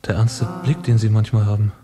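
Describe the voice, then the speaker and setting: Anguished young revolutionary poet. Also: male voice, lowish voice, level tone, a little fast, warm tone, slightly rough, faint music behind. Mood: anguished, confessing. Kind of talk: serious stage-like radio drama acting.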